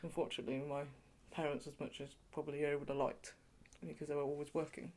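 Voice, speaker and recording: A woman's voice: low-pitched, little pitch movement, quick pace, dark tone. A woman from England being interviewed, talking in a chatty way with lots of "you know" and light laughs. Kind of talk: oral history interview.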